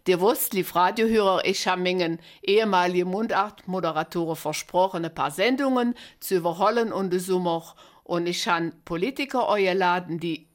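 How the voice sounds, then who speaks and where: A woman's voice: fairly low pitch, normal speed, hardly any pauses. A woman from German-speaking Belgium hosting a dialect radio interview, with an East Belgian German dialect and a chatty way of prompting her guest with short questions. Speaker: radio host.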